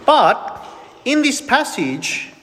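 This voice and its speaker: A male preacher with a notably high voice delivers a sermon. He speaks emphatically, putting questions to his listeners and answering them himself. His voice rings out with the long reverb of a large hall. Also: big pitch swings, very slow, bright, forceful tone.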